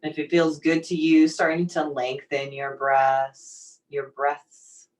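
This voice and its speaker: Woman doing guided meditation, really slow and soothing, gently encouraging, sounds a bit far from the mic. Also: sits low, monotone. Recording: distant microphone pickup.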